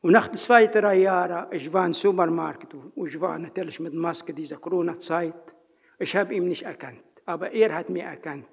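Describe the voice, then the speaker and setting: A man with a non-native German accent preaching earnestly in a church, telling a personal story in halting phrasing and then praying. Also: high-pitched, vocal fry, husky. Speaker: lay preacher. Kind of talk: sermon with personal story and prayer. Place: church hall. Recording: reverberant hall, dull lo-fi sound.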